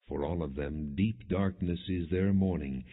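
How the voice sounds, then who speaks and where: Low, husky man on phone-like audio. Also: unhurried. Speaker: audiobook Bible reader. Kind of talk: scripted scripture narration, non-dramatized. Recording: muffled, phone-like narrow-band audio.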